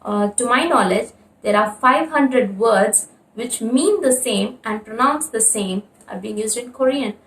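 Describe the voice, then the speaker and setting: A woman talks through an explanation in a slightly high voice, earnest and proud, with "like" and "uh" fillers along the way. She sits some distance from the mic, in a room that rings with echo. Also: a little slow, a bit bright. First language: Tamil. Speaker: video presenter. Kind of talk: explanatory monologue. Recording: echoey room, away from the mic.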